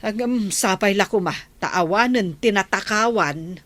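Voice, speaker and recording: A woman's voice: medium pitch, a little slow, a bit bright, no echo, close to an everyday voice. A woman playing a practical, chiding village woman in an Ilocano radio comedy drama.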